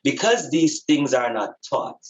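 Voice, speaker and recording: A man's voice: medium pitch, very animated, unhurried, muffled recording. A man speaking as a spiritual teacher in conversation, earnest and emphatic, repeating phrases for effect.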